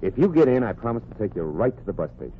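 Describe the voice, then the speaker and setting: A flirty small-town wolf in a 1940s radio comedy. He is brash and slangy, with a very lively pitch. The recording is thin and tinny, with clear background noise. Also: racing. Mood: flirty, coaxing. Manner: smooth-talking, slangy come-ons.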